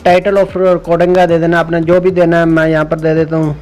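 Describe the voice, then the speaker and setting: High, even-toned man giving app tutorial. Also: normal speed. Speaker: tech tutorial host. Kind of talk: informal step-by-step app tutorial. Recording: music playing behind the voice. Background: music.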